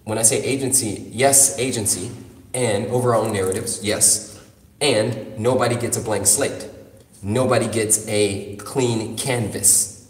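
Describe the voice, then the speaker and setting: A man's voice: lowish voice, steady tone, a little slow, recorded from a distance. Emphatic man in an echoey room. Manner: emphatic, philosophical, sweary. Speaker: interview guest. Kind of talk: casual spoken discussion.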